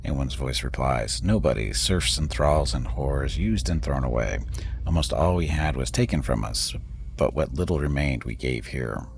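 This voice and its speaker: A man streaming a game and reading its text aloud, his voice very deep and gravelly with a lively, wandering pitch. He drops relaxed, wry comments between passages while faint game music plays. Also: moderate pace, a little hiss or hum.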